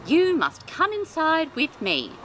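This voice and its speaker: A woman voicing a kindly old grandmother in a children's tale, gentle, endearing and sing-song. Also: high-pitched, huge pitch swings, slow, slight background noise, pitched above the performer's own voice, full character voice. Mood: tender concern. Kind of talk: storybook character voice.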